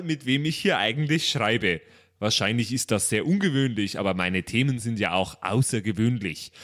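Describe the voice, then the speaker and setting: A man's voice: medium pitch, natural voice. A man playing an earnest esoteric woman blogger, reading aloud with mock solemnity in a comedy podcast. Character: earnest esoteric drum-shop woman reading her musing blog.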